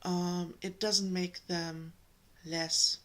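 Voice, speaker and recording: A woman from Germany with a German accent, giving a slow, gentle spiritual talk to her viewers, pausing often. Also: on the low side, flat delivery. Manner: slow, gentle, with many pauses and ums. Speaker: spiritual coach.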